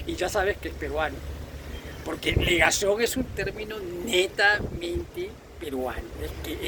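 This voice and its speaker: Peruvian guy ranting his opinions, pretty high voice, repeats himself for emphasis, noisy rumble behind him. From Peru. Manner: emphatic, repetitive, florid vocabulary. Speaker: video host. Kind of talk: opinionated informal monologue.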